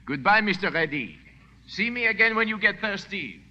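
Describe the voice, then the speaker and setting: A man's voice: sits high, expressive, moderate pace, bright, forceful tone, heavily acted. An actor playing a sly, insinuating police henchman in a 1940s radio drama. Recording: dull old radio recording.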